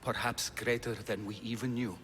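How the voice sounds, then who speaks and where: A man's voice: medium pitch, flat delivery, normal speed, fairly bright tone, soft background music, natural voice. An actor playing a caring, solemn Athenian man in a dramatic video game cutscene.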